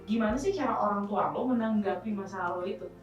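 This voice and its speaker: Seorang perempuan berlogat yang mengajukan pertanyaan wawancara dengan santai. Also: female voice, medium pitch, lo-fi sound, faint music behind. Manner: quick, casual questioning. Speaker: interviewer. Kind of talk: asking interview questions. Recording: very echoey room, distant mic.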